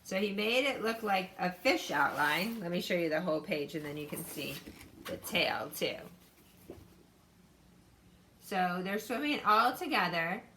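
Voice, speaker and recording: A woman's voice: fairly low pitch, animated, measured pace, long pauses, bright, forceful tone, slight background noise. A woman teacher reading a picture book aloud to children and explaining it in an instructive way, addressing them directly.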